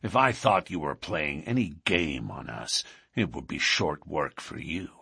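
A man voicing a menacing, gruff lodge boss in an audiobook, blunt and threatening as he interrogates. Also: extremely low pitch, expressive, unhurried, pushed and bright, no room sound, studio quality, pitched below the performer's own voice, full character voice. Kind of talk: audiobook character dialogue.

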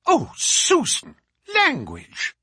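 Storybook gentlewoman male reader: earnest, genteel, highish, very expressive, proper manners. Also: a little slow, husky, no echo, studio quality, full character voice. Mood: shocked. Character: genteel lady of the house, earnest, easily shocked.

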